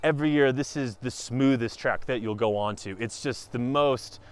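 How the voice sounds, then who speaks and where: A man's voice: medium pitch, moderate pace, noisy background. A man talking casually and enthusiastically while walking around a bobsleigh track outdoors. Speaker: athlete reporter. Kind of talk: casual on-site walkthrough.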